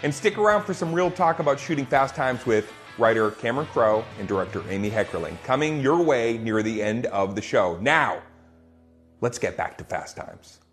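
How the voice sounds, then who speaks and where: A man's voice: medium pitch, expressive, moderate pace, fairly bright tone. A man hosting an online charity table read, making a casual fundraising appeal. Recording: faint music behind.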